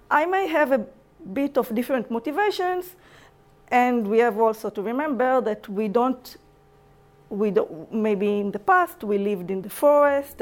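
Young israeli woman giving a talk, slow and careful, highish voice, stumbles and restarts words. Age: young adult. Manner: halting, self-correcting non-native English with restarts. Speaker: lecturer. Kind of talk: public lecture. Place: lecture room.

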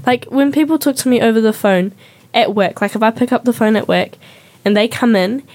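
A woman's voice: a little high, a little fast, a little mellow. A young woman with a New Zealand accent hosting a youth radio show, telling stories in a casual, chatty way full of ums and likes. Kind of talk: casual chat.

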